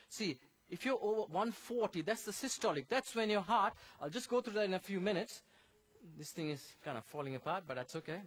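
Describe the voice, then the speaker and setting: High-voiced man from Sri Lanka. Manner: conversational and explanatory, breaks down medical terms. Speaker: church health seminar speaker. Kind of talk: health talk in a church seminar.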